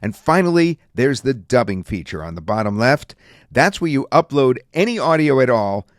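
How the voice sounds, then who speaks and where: A man reviewing and demonstrating software in a casual, rambling way with many fillers. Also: mid-range voice, big pitch swings, normal speed, no echo, clean studio sound. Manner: casual, filler-heavy talk. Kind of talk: screen-recorded software demo.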